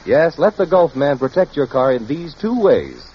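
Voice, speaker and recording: A man's voice: a little high, sing-song, moderate pace, breathy edge. A man announcing on a vintage radio show with a folksy, emphatic sales delivery. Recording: muffled, hissy vintage radio recording.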